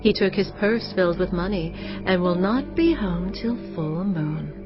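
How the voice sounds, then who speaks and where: An actress playing a brazen married temptress in a dramatised scripture, coaxing with smooth, persuasive words. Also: female voice, on the low side, unhurried, lightly acted. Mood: seductive, coaxing. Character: seductive, brazen married temptress luring a youth. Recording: muffled, music prominent behind voice. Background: prominent background music.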